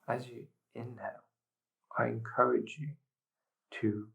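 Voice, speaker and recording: Man, meditation guide, low pitch, gravelly fry, measured instructions, pauses between phrases. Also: poor audio.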